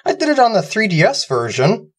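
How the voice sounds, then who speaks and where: A man's voice: sits high, huge pitch swings, a little fast, slightly rough, pitched above the performer's own voice, full character voice. A man voicing a sinister, taunting, bossy evil nagger in a comic gaming video skit. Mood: accusing, taunting.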